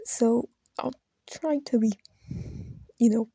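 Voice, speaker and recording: A woman speaks slowly and haltingly, close to tears, often trailing into whispers. Her voice is slightly high but dark and gravelly, with a husky edge. The sound is dry and close. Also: no room sound. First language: Brazilian Portuguese. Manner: halting, hesitant, drifting into soft whispers. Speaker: video creator. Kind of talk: emotional personal tribute.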